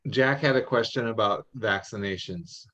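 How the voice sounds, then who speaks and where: Dull-sounding, low-voiced man advising. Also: normal speed, bright, forceful tone. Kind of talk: informal advice in a meeting. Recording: dull, lo-fi meeting audio.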